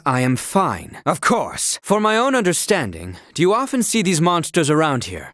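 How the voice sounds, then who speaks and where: A dutiful young knight sworn to guard a princess, formal and old-fashioned in every word. His pitch sits a little high for a man and swings widely as he speaks. The studio sound is dry and close. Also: fairly bright tone, no room sound. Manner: dutiful and protective. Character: earnest young male knight, loyal royal guard. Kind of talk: video game voice acting.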